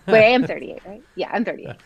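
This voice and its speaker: A woman in her thirties, a nonprofit leader, answering interview questions in an articulate, measured way. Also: medium pitch, animated, husky, lo-fi sound. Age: 30s.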